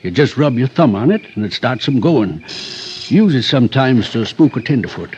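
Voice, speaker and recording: An actor as a drawling, folksy rustic guide, old radio. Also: male voice, mid-range voice, animated, normal speed, darkish tone, natural voice. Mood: somber, folksy. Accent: rural American West. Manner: drawling, homespun, dry. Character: folksy rustic male outdoor guide, sly and unflappable. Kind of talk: 1940s-50s radio drama acting. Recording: dull, hissy old radio recording.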